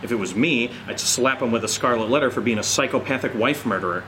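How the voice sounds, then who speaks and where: An actor playing a sarcastic, wisecracking friend in an audio drama, with a teasing, naturalistic delivery. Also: male voice, medium pitch, fast talker, bright, forceful tone, a little hiss or hum, lightly acted. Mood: mocking. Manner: sardonic, joking, teasing.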